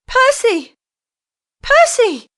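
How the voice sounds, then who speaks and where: A woman's voice: extremely high pitch, animated, takes it slowly, a bit bright, voice pushed up, exaggerated voice. A woman voicing a desperate young noblewoman who cries out hysterically. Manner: shrieking, hysterical. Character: desperate young noblewoman, torn between hope and fear. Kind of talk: audiobook character voice.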